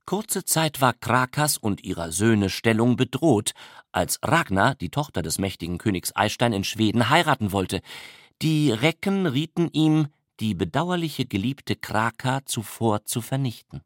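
A man reading literary narration in a measured, matter-of-fact way. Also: medium pitch, lively intonation, no room sound, clean studio sound.

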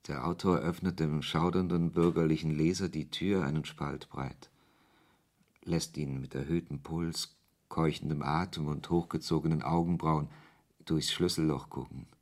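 An author narrating a radio play. His voice is very deep and husky, and the delivery is deliberate, reflective and knowing. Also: steady tone, a little mellow, full character voice. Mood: knowing, confiding. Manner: measured, literary, deliberate. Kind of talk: scripted narration in a German radio drama.

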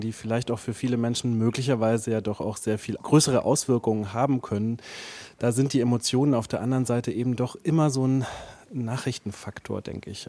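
A man gives reflective interview answers in a mellow, warm voice of middling pitch. He feels his way through his phrases, and the recording is dry and close. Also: no echo. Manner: thoughtful, hedging, searching phrasing. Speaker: interview guest, radio play author.

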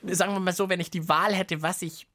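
A man's voice: high voice, brisk. A man co-hosting a children's science podcast in colloquial, playful chat. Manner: colloquial, animated, jokey.

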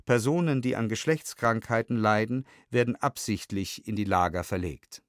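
A man reading a scripted documentary audiobook in a measured, sober way with precise enunciation. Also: on the low side, dry recording, clean studio sound. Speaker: narrator reading historical documents. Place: studio.

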